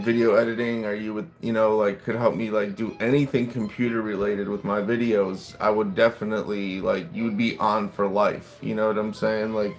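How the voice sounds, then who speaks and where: Rambly late-30s guy on a livestream, lowish gravelly voice, little bit of classical music playing behind him. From United States. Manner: rambling, laid-back, chatting to viewers and reacting to comments. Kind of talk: casual livestream chat with viewers. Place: restaurant, waiting for food.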